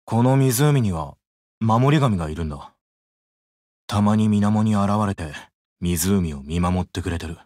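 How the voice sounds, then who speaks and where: Casting: laconic young guide, anime game, blunt and cool, lowish pitch, dry sound. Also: male voice, lively intonation, measured pace, a little mellow, no room sound. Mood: calm. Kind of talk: anime mobile game voice acting.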